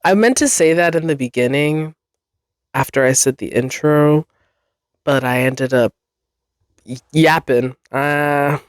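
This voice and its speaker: A podcast host recovering from a cold, sounding a bit nasal and congested, rambling chattily in a basement with frequent laughs. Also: male voice, fairly high pitch, a little slow, pauses often, clean tone, no room sound, clean studio sound. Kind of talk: casual solo podcast chat. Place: basement room.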